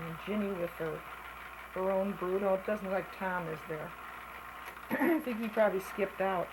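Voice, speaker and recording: An older woman with a gravelly voice, chatting casually as she looks back over old family slides, often clearing her throat. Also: medium pitch, normal speed. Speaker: family member narrating home slides. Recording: home recording with noticeable background noise.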